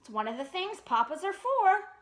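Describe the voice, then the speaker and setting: A woman's voice: very high voice, sing-song, moderate pace. A woman voicing a confident, lecturing father bear in a children's storybook. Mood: proudly confident. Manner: hearty, self-assured, lecturing.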